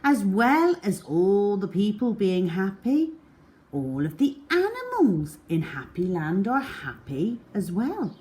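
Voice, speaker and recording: Female children's storyteller, very unhurried, deliberate delivery with big swoops of pitch for a picture-book reading. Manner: slow, deliberate, expressive storytelling with drawn-out words. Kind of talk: children's storybook read-aloud.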